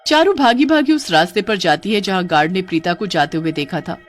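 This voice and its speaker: A woman's voice: fairly low pitch, expressive, fairly quick. A woman reading scripted storybook narration for a horror cartoon. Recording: music under the voice.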